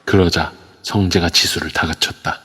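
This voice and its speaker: A man narrates a spoken drama in a steady, storytelling way. His voice is very deep and husky. It carries long reverb through a phone voice chat. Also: normal speed. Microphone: phone in an online voice room.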